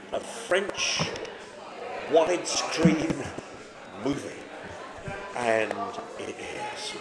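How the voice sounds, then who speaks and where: Animated man in a noisy, echoey pub. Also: a little high. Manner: emphatic, enthusiastic storyteller. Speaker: podcast guest. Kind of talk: animated casual chat about favourite films. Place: busy pub, indoors. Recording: echoey room, very loud pub crowd.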